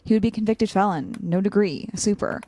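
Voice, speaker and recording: A woman's voice: medium pitch, quick pace, mellow, close to an everyday voice. A woman playing an earnest, conflicted, loyal young student in a naturalistic audio drama, a little hesitant.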